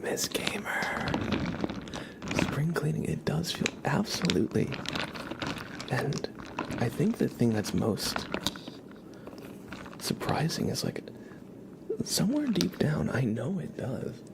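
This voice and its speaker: A man hosting an ASMR livestream, chatting casually and softly in a gentle, rambling way, often slipping into a whisper. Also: on the high side, sing-song, slow, vocal fry, pauses often. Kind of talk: soft-spoken ASMR with casual livestream chat.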